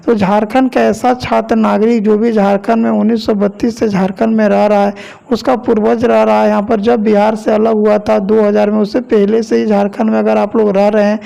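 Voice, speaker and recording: Gravelly high-pitched man reading news. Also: little pitch movement, a little fast, warm tone. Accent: Jharkhand Hindi. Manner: repetitive, formulaic delivery with stock phrases. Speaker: news presenter. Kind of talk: online news voice-over.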